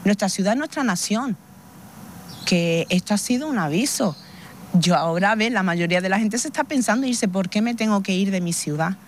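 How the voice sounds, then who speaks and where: A woman's voice: mid-range voice, lively intonation, normal speed. Woman resident, street vox pop, insistent and heated; faint outdoor noise behind. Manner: impassioned, insistent.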